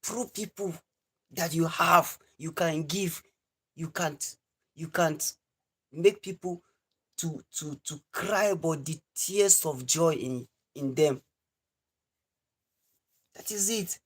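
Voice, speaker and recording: A man's voice: on the high side, slow, long pauses, fairly bright tone, slightly rough. A man in his twenties with a Nigerian accent, hosting a livestream and giving an earnest, repetitive lecture to his viewers. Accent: Nigerian English. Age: 20s. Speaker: live stream host. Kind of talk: preachy livestream monologue.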